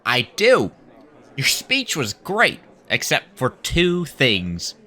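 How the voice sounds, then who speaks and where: An actor playing an indignant, principled activist arguing emphatically in an audio drama. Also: male voice, medium pitch, wildly swooping pitch, takes it slowly, bright, forceful tone, full character voice. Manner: emphatic, argumentative. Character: indignant, principled alien-rights activist, sticks to facts.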